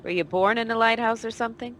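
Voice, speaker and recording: An actress playing a tough, teasing femme fatale, cool and wisecracking, in a noir radio play. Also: female voice, fairly high pitch, quick pace, a bit bright, a little hiss or hum, lightly acted. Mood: sly, teasing.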